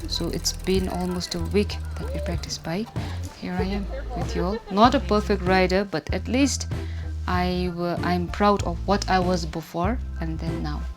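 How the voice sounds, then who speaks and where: Woman, thirties, Bhutanese accent, lowish pitch, documentary interview, music behind. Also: steady tone, moderate pace. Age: 30s. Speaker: tourism worker, novice rider.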